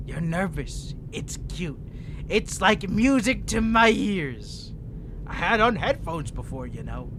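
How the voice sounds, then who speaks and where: Manic, swooping, taunting vampire prisoner. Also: male voice, fairly high pitch, noisy background. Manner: taunting, teasing, theatrical, giggling, drawn-out delivery. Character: insane centuries-old male vampire prisoner, playful, menacing, bored. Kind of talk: theatrical ASMR roleplay monologue.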